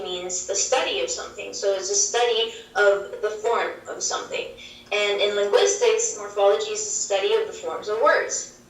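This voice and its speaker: A woman whose first language is Swedish explains linguistics on a video call, measured and full of examples. Her voice comes from a wall screen across the room, distant and echoey. The pitch sits in a middle range. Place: played through a wall screen in a dim room. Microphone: video call heard over speakers. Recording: roomy, distant playback from a screen.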